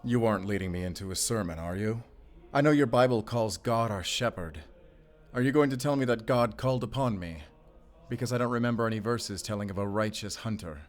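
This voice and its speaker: Man as a deep-voiced, brooding monster hunter. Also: brisk, natural voice. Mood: wary, irritated. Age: 30s. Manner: terse, guarded, stern. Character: weary, brooding male werewolf hunter, gruff and defiant.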